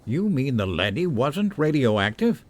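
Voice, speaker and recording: A middle-aged professor and protective father in a radio play, acted by a man. He has a medium-pitched voice and an earnest, measured way of speaking, stern with an anxious edge. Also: big pitch swings. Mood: concerned, firm. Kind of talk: radio drama acting.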